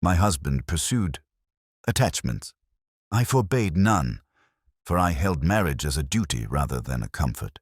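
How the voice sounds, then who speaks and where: A man's voice: a bass voice, lively intonation, unhurried, slightly rough, dry recording, studio quality, exaggerated voice. A man voicing a brittle, restrained widow in an audiobook mystery, speaking formally and guardedly.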